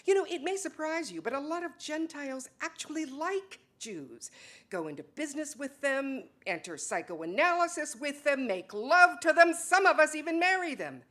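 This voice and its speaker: Woman acting an insistent, defensive wife, animated voice. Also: high-pitched, moderate pace, pitched above the performer's own voice. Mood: indignant. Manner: emphatic, insistent, swinging from soft to loud. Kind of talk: dramatic play reading.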